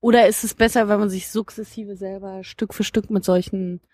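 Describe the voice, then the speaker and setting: Reflective woman podcast host from Berlin, mid-pitched, dry recording, chatty and discursive. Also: steady tone, normal speed, darkish tone, slightly rough, no room sound. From East Berlin, Germany. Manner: reflective, talks in long winding sentences with many asides. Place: outdoors, open-air recording.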